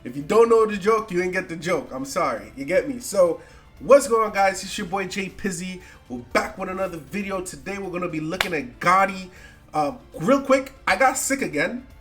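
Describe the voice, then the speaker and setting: A young male host whose voice sounds stuffy and nasal as he recovers from a cold. He talks loosely and with slang, sitting a little high in pitch for a man. Faint music plays under his voice. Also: animated, moderate pace, fairly bright tone. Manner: loose, slangy, repeats "right?" often. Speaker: gaming YouTuber. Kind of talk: casual commentary on card game decks.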